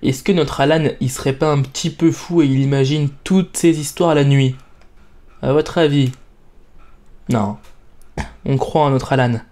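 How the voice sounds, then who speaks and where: A man's voice: medium pitch, a little slow, long pauses, darkish tone. A young man giving casual gameplay commentary in a playful, self-mocking way. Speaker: let's play gamer.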